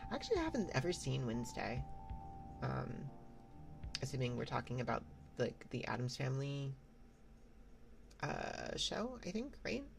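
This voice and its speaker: A woman streaming ASMR, chatting softly and rambling with lots of fillers, sometimes dropping to a whisper. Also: extremely low pitch, big pitch swings, normal speed, creaky, pauses often, soft background music. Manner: rambling, many fillers and restarts; soft-spoken, drifts into whispers. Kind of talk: soft casual stream chat. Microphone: binaural mic.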